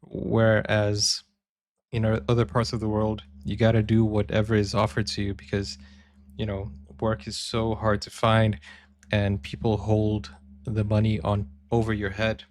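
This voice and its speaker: A man's voice: on the low side, monotone, a little slow, darkish tone. A man hosting a reaction video, commenting casually and thinking aloud with fillers.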